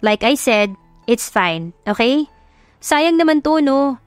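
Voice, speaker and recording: A woman voicing a spirited young rich woman in an audio love story, brash but softening, mixing English into her speech. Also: high voice, animated, slow, no echo, soft background music, pitched above the performer's own voice. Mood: reassuring.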